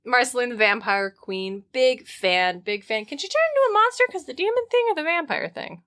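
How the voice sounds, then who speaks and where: A woman's voice: on the high side, brisk. A woman co-hosting a podcast, chatting casually and jokily about mythology and pop culture, swearing now and then. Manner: animated, jokey, swears casually. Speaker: podcast co-host.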